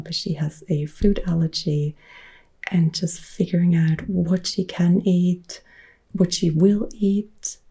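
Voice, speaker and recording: A woman in her thirties from Upper Austria with an Austrian German accent, softly whispering and quietly answering viewer questions as an ASMR host. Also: lowish voice, vocal fry, breathy edge. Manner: soft whispering, mixed with quiet speech. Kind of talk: ASMR Q&A chat. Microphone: close-up sensitive ASMR mic.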